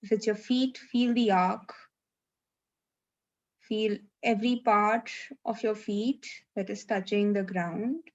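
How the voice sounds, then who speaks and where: Slow-paced woman guiding a meditation. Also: medium pitch, steady tone, lo-fi sound. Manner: gentle, unhurried instruction with long pauses. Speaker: meditation guide. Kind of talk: live guided meditation. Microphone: video call.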